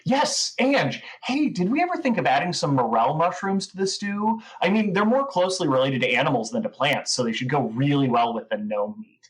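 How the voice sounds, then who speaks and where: A woman's voice: deep voice, low-quality recording. A woman playing a gushing, rambling gnome who is obsessed with mushrooms, in a comedic audio drama. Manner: gushing, rambling, wide-eyed enthusiasm.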